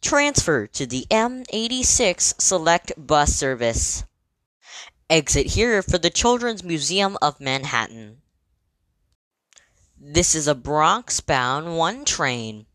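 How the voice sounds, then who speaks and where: A woman delivering scripted train announcements in a measured, formal, clearly segmented manner. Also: sits low, very animated, a bit bright, no room sound.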